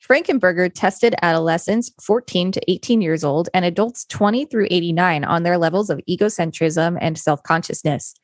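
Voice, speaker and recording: A woman co-hosting a podcast, reading an encyclopedia article in a measured, explanatory way. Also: on the low side, no echo, studio quality. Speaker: podcast co-host. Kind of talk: reading an encyclopedia article aloud with brief chat.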